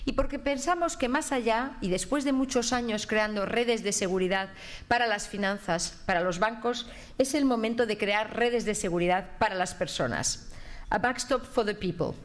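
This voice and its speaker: A woman minister reading a prepared formal speech in a measured way. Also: on the high side. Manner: measured, read from notes. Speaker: government minister.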